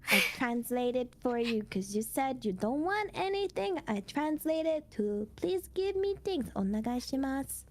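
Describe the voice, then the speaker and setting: A woman's voice: high-pitched, sing-song, measured pace, few pauses, slight background noise. A woman from the United Kingdom chatting casually and playfully on a stream, cutesy and teasing, mixing in Japanese phrases. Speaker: streamer, co-host.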